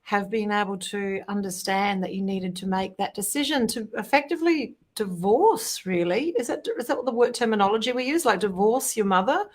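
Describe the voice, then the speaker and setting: A woman's voice: mid-range voice, normal speed, few pauses. A woman hosting an interview, asking questions in an encouraging, inquisitive way. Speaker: interview host.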